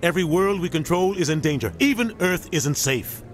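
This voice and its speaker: A man's voice: sits high, animated, brisk. A man playing a middle-aged navy captain in a video game, grave, embittered and emphatic. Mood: urgent, ominous. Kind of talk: video game cutscene acting. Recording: music behind game dialogue.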